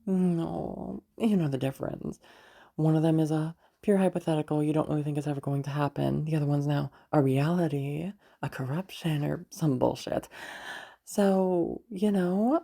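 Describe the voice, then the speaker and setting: A woman's voice: deep voice, moderate pace, creaky, dark tone. A woman playing a playful, menacing villainess girlfriend who talks in a smug, amused, teasing drawl in an intimate audio roleplay.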